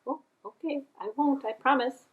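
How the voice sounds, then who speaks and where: Woman playing a high, fluttery storybook lady. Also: moderate pace, phone-quality sound, lightly acted. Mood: obliging. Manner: fluttery, hesitant, eager to please. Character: polite, apologetic, nosy country lady in a bonnet. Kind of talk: storybook puppet show voice.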